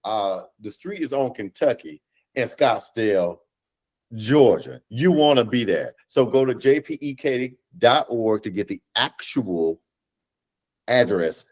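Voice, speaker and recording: A man in his thirties speaking as an interview guest in a measured, emphatic, motivational way. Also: medium pitch, animated, darkish tone. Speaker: guest, stage play director. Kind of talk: casual interview talk, motivational. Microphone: video call.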